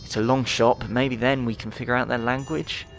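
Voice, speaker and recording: A man's voice: mid-range voice, little pitch movement, brisk, faint music behind, pitched above the performer's own voice, natural voice. A man voicing an earnest, anxious female expedition scientist in a dramatised sci-fi audiobook, with urgent delivery. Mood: earnest, astonished.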